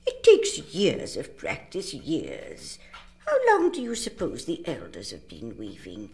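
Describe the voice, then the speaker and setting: An actress playing an elderly, stern but kindly weaver woman and mentor in an audio drama, speaking in a measured, theatrical, instructing way. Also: a bass voice, wildly swooping pitch, a little mellow, full character voice.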